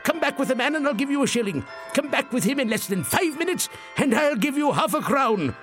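Elderly reformed miser, squeaky and gleeful, wildly swooping, stammery, storybook. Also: male voice, lively intonation, a little fast, fairly bright tone, soft background music. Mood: giddy. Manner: giddy, breathless, stammering. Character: elderly miserly man turned giddy and jovial.

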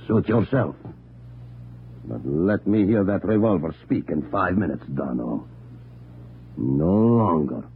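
A man's voice: sits low, expressive, slow pace, pauses often, heavily acted. An actor playing a cold, calculating male usurper in an old radio drama, speaking with precise menace. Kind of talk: 1940s radio acting. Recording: tinny old radio broadcast with hiss.

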